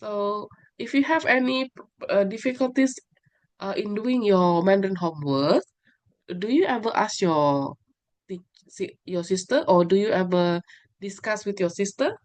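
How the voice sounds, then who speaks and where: A woman's voice: mid-range voice, a little slow. A woman leading an online English class, asking students questions in an encouraging way and slipping in fillers. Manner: encouraging, mixes in Indonesian fillers. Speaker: English teacher.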